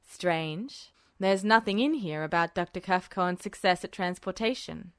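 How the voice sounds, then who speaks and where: A woman's voice: fairly low pitch, expressive, unhurried, clean tone, no echo, voice pushed down. A woman voicing a smug, composed male military officer in a podfic reading, cool and clipped with dry teasing.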